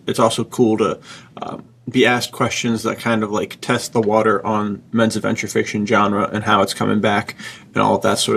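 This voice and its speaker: A man with a fairly low, husky voice and a lot of creak in it. He co-hosts a podcast in a casual, informal way, often thinking aloud as he goes. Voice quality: husky, gravelly. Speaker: podcast co-host. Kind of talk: casual chat.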